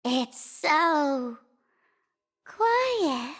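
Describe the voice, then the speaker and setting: A woman playing a sugary, smug, theatrical trickster girl in a video game cutscene, with a cutesy, teasing, drawn-out delivery. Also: high-pitched, very animated, fairly bright tone, full character voice. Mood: wondering. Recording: voice over prominent music.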